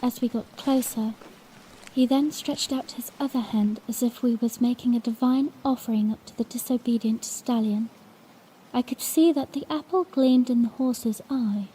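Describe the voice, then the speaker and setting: A woman's voice: a little high, normal speed, mellow, slight background noise, lightly acted, poor audio. A woman playing a bashful young princess, telling her own story tenderly and earnestly in a fan-made audio drama. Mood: wondering, tender.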